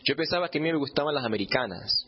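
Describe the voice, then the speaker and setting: A man's voice: a little high, animated, very fast, breathy edge, muffled recording. A man gives a personal testimony as humorous storytelling, playfully acting out bits of dialogue. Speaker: church testimony speaker.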